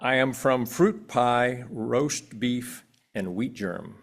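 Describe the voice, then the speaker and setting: A man reading his own personal poem aloud at a church pulpit in a measured, plain way. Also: mid-range voice. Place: church sanctuary.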